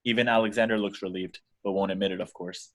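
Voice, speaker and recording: A man's voice: lowish voice, steady tone, fast, fairly bright tone. A man reading out the action descriptions as scripted narration in a table read.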